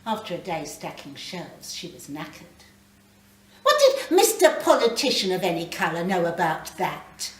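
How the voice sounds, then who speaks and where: A British woman reading a short story aloud in a dramatic, deliberate way. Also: on the low side, sing-song. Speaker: story reader. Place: live reading in a library. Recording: roomy, distant mic, faint background noise.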